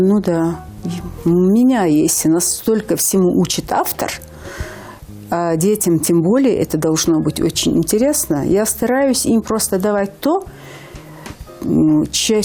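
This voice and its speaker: A woman's voice: lowish voice, warm tone. A woman in her fifties from Ingushetia, a language teacher speaking earnestly as a guest on a TV morning show, with hesitant fillers. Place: TV studio.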